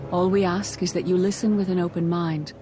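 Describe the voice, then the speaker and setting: Woman playing a low-voiced, serene Jedi mentor. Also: noisy background, lightly acted. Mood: serene, solemn. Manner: measured, solemn, calmly instructive. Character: serene, wise female Jedi master mentor. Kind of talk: dramatic video game voice acting.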